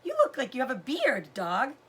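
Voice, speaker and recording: A woman's voice: high voice, huge pitch swings, normal speed, fairly bright tone, breathy edge, full character voice. A woman voicing a kind, playful pioneer boy in a storybook. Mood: amused.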